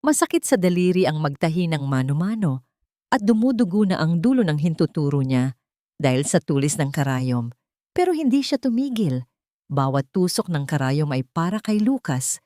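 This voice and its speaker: A Filipino woman reads a dramatic story as its narrator. Her voice sits a little low and is very soft-edged and mellow. The sound is dry and close, with no room around it. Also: sing-song, moderate pace, no room sound.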